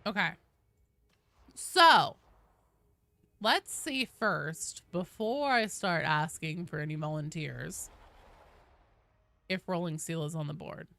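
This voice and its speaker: A woman livestreaming a video game, chatting casually and commentating in an informal, sweary, animated way. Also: deep voice, takes it slowly, gravelly, pauses often, no room sound.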